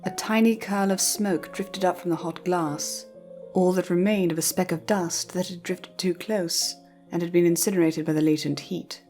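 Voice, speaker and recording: A woman storyteller reading scripted fiction narration in a measured, careful way. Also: fairly low pitch, steady tone, a little mellow, soft background music.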